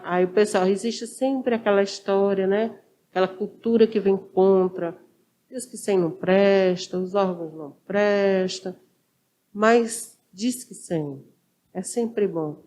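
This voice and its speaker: A woman's voice: mid-range voice, slow, darkish tone. A woman with a Brazilian accent answering as an interview guest, conversational and didactic, repeating her key points. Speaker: social worker, interview guest. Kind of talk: informal explanatory interview.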